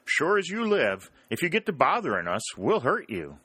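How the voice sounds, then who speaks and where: A man's voice: wildly swooping pitch, normal speed, slightly rough, no echo, exaggerated voice. A man voicing a tall, youngish, boastful Southern soldier with a Mississippi accent and a folksy drawl. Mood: teasing. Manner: folksy drawl, higher and louder than narration.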